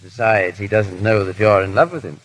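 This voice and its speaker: A man's voice: fairly low pitch, moderate pace, natural voice, rough recording. A man playing a witty, foppish aristocrat in an urbane, ironic 1930s radio drama style. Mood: wry, tender. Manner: urbane, drawling, lightly ironic. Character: foppish, witty English aristocrat husband hiding his feelings. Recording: old 1930s radio recording.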